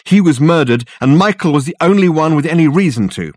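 A man reading a story, voicing a panicked young man who shouts urgently and pants for breath. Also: a little high, sing-song, fast, slightly rough, no echo, pitched above the performer's own voice.

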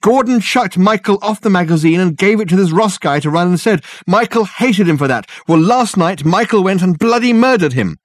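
A man's voice: a little high, sing-song, quick pace, husky, dry recording, pitched above the performer's own voice. Man doing a frantic, breathless young man. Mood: panicked. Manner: shouting, breathless urgency.

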